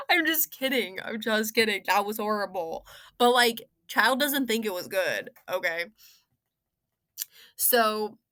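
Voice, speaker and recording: A woman's voice: mid-range voice, vocal fry, bright, forceful tone, no room sound, studio quality. A young woman giving casual, rambling review commentary in a giggly, playful, slangy way. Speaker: video game story reviewer.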